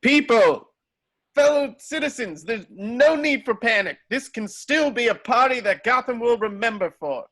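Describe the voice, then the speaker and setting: A man's voice: very high voice, animated, normal speed, a bit bright, exaggerated voice. A man playing a flustered, pleading mayor with a tremulous delivery in a script table read. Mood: anxious, placating.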